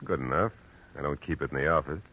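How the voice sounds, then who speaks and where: An actor playing a hard-boiled, world-weary private detective in a 1940s radio drama, terse, wry and tough-talking. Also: male voice, extremely low pitch, fast talker, pushed and bright, husky, exaggerated voice, low-quality recording. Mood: curt, defiant. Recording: vintage radio recording, music underneath.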